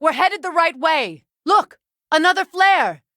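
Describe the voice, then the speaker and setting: A woman voicing an excitable video game companion who speaks in emphatic, exclamatory short callouts. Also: extremely high pitch, measured pace, no echo, studio quality, full character voice. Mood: urgent, alert.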